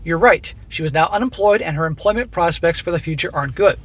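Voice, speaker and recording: A man hosting a channel who reads a listener's story aloud and comments on it in a blunt, profane, coaching way, his voice going hoarse. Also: on the high side, fast, fairly bright tone. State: losing his voice. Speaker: host reading listener stories.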